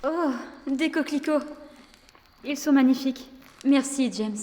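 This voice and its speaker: A woman's voice: high voice, a little slow, darkish tone, in a large hall, slight background noise. A woman acting a young ancient Athenian slave in an audio drama, spirited, tender and shrewd. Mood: tender, shy.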